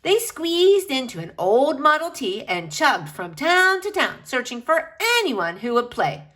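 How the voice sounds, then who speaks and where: A woman reading a children's picture book aloud expressively, with emphatic, dramatic phrasing and drawn-out key words. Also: sits high, very animated, a bit bright.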